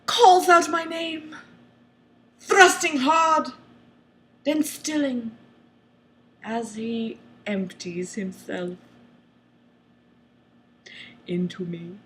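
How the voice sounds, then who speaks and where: Female reader for comedic novel narration: theatrical, overemphatic, very animated, unhurried, mock-dramatic. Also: high voice. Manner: theatrical, exaggerated emphasis.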